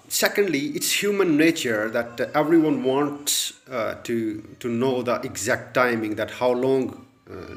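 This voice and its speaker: Earnest Pakistani man coaching English. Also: lowish voice. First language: Urdu. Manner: earnest, repeats points, frequent "uh" fillers. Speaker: English tutor. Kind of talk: advice talk to camera.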